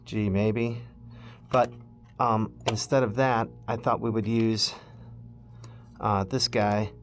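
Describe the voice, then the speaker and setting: Low-pitched man, casual DIY walkthrough. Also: level tone, takes it slowly. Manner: informal, thinking aloud while handling parts. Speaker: host of a DIY electronics project video. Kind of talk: casual hands-on project walkthrough.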